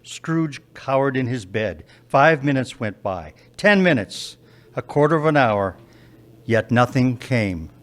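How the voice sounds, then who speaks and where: A man narrating a live radio play with measured, dramatic pauses. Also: mid-range voice, animated, fairly bright tone.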